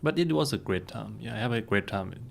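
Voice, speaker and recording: A man's voice: fairly low pitch, lively intonation, fast, vocal fry, a little hiss or hum. A young man from Thailand, a student guest on a podcast, explaining things plainly and patiently. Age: young adult. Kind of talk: casual interview answers. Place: small podcast recording room. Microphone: studio microphone.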